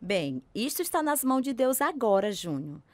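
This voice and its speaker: A woman with a Brazilian accent playing a gentle, devout grandmother of nearly a hundred in a radio drama. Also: fairly high pitch, very animated, normal speed, no echo.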